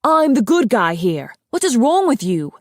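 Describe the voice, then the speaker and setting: A woman's voice: lowish voice, moderate pace, darkish tone, no echo, lightly acted. Female narrator doing a young male dragon, arrogant, brusque, sarcastic, defensive, fantasy audiobook, dry sound. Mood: indignant shouting.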